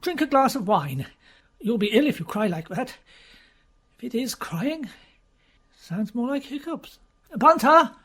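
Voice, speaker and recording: A woman's voice: a little high, very animated, measured pace, pauses often, fairly bright tone, husky, pitched above the performer's own voice, heavily acted. A woman voicing a flustered, polite male detective who speaks hesitantly and stammers.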